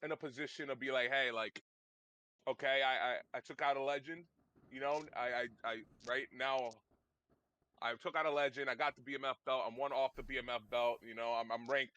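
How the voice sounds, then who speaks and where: A man's voice: mid-range voice, steady tone, brisk, bright, forceful tone, poor audio. A man co-hosting a casual podcast, talking loosely and hesitantly with lots of slang. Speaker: podcast co-host. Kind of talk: casual chat about fights.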